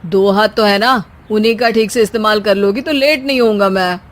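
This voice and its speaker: A woman storyteller voicing a hurried, demanding husband who teases flippantly in a domestic story. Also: medium pitch, lightly acted. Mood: impatient, bossy. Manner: bossy, flippant, impatient.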